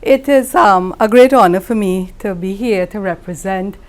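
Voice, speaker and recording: A woman's voice: medium pitch, normal speed. Emphatic woman giving a tribute. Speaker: judge giving tribute speech. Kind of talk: ceremonial tribute speech.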